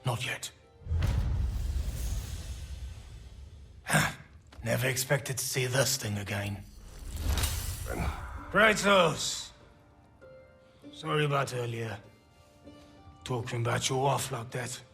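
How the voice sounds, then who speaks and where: A man's voice: mid-range voice, expressive, slow pace, creaky, pauses often, sharp tone, faint music behind, heavily acted. A man playing a hard-drinking, sardonic dwarf resistance leader in a video game, wry and wordy. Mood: grateful, contrite. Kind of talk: video game cutscene acting.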